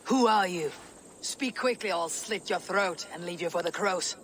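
An actress playing a steely, calculating Viking warrior woman in a video game, speaking in a terse, commanding way with a husky low voice. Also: normal speed, fairly bright tone, slight background noise, lightly acted. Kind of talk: video game cutscene acting.

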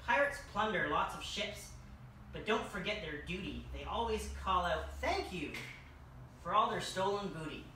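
A young adult hosts a children's story time, reading aloud in a bright voice. The recording is distant and very echoey, with faint noise behind it. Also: medium pitch, animated, moderate pace. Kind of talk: read-aloud children's storytelling in costume as a king. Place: large furnished room indoors. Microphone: distant camera microphone.

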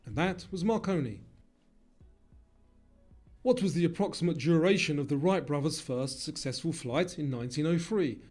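Higher-voiced man reading quiz narration over music. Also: expressive, darkish tone. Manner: measured, formal question reading.

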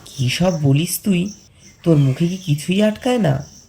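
A man acting as a young villager in love, narrating a tragic cartoon romance. His delivery is slow and pensive, with a mellow, gravelly edge. Light music plays behind him. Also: a little high, a little hiss or hum. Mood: earnest, concerned. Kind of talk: scripted first-person story narration with dialogue.

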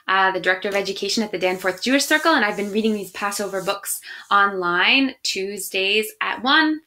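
A woman's voice: medium pitch, pushed and bright. A woman, reading and chatting expressively to young listeners as for an online storytime. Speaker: education director reading picture books online to children. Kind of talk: children's storybook read-aloud and friendly chat to viewers. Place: small room at home, indoors.

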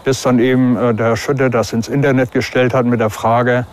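A male hobby detectorist tells his account casually in an interview. He has a medium-pitched voice with slight ambient noise around it. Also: little pitch movement, moderate pace. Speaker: amateur metal detectorist being interviewed.

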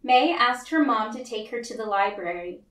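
A woman reading a picture book aloud to children, with deliberate, expressive storytelling narration. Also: a little high. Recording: roomy, echoey, distant mic.

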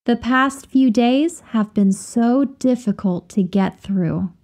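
A woman reading example sentences slowly and carefully, repeating each phrase for learners to copy. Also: fairly high pitch, a little mellow. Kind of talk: slow, clear drill reading of example phrases.

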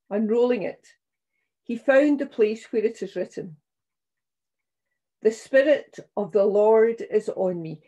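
Slow, mellow, husky older woman preacher. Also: a little high. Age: 60s or older. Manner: solemn, measured, deliberate phrasing. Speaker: minister leading a church service. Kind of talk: scripture reading and sermon. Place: small room at home, indoors.

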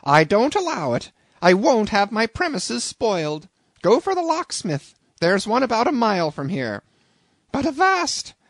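A shrill, flustered innkeeper woman who scolds and exclaims at every turn, put on by a male audiobook reader. Her voice climbs high and swings widely, and it stays loud and pressing. The recording is close and dry. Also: huge pitch swings, unhurried, dry recording, heavily acted. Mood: frantic. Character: shrill bustling middle-aged innkeeper woman.

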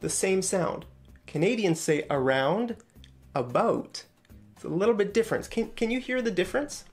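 A woman teaching accents, demonstrating vowel sounds slowly and clearly. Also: medium pitch, animated, darkish tone, soft background music. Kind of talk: explaining pronunciation in a played video.

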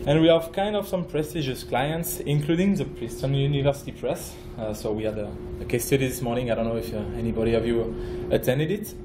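A man from France with a French accent, giving a conference talk as a project manager, halting, with many fillers and restarts. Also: mid-range voice, roomy sound, noisy background. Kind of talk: conference presentation. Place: conference room.

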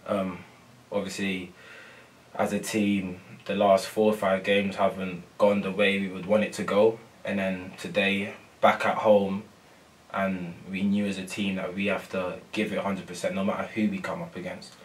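A young man and footballer, soft-spoken and full of ums and repeats, answering post-match questions in a press room. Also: sits low, little pitch movement, normal speed, far from the mic, poor audio. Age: young adult. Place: press room before a sponsor board.

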